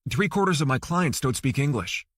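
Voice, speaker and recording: A man's voice: mid-range voice, animated, moderate pace, dry recording, clean studio sound, close to an everyday voice. A man voicing a wry, plain-spoken male ex-lawyer in an audiobook, blunt and direct.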